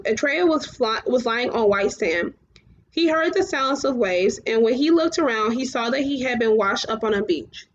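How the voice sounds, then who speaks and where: A woman reading children's fantasy fiction aloud, somewhat halting, often stumbling and going back over phrases. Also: a little high, lo-fi sound. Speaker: storybook reader.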